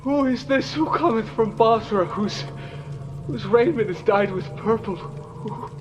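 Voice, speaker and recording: A fervent prophet crying out doom. His voice is high and slow, declaiming like an incantation. Clearly audible noisy ambience surrounds him in this audio drama. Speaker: actor.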